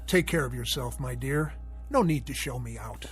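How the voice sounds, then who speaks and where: A man's voice: mid-range voice, normal speed, over music, close to an everyday voice. An actor playing a courteous, chatty male visitor in a radio drama. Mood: friendly.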